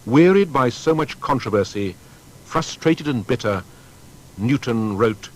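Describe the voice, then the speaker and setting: A man's voice: medium pitch, expressive, measured pace, a little hiss or hum, poor audio. A man narrating a scripted biographical documentary in a dramatic, emphatic storytelling manner.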